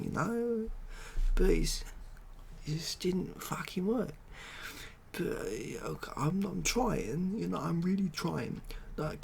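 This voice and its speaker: A man with an English accent playing a hapless, well-meaning but dim world president in a soft comedy ASMR roleplay, hesitant, pleading and repeating himself. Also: high-pitched, vocal fry, a little hiss or hum, exaggerated voice. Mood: defensive, weary.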